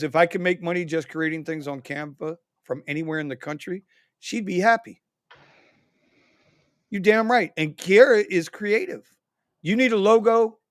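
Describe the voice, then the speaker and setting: Animated man hosting a livestream. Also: on the high side, clear, resonant tone, no echo, studio quality. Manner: animated, reads viewer comments aloud. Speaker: livestream host. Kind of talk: casual livestream chat.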